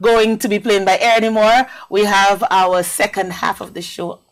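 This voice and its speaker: A woman's voice: mid-range voice, fairly even intonation, moderate pace, rough recording. A woman hosting a TV talk show, bubbly and giggly.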